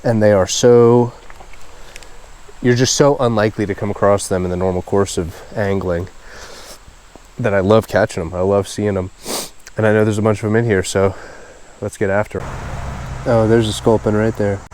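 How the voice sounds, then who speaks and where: Low-pitched, congested man vlogging outdoors. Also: steady tone, normal speed, pauses often, a little mellow, a little hiss or hum, poor audio. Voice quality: nasal, stuffed-up. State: congested and sniffly. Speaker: host of a fishing video series. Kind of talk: casual outdoor vlog narration. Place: outdoors beside a small creek.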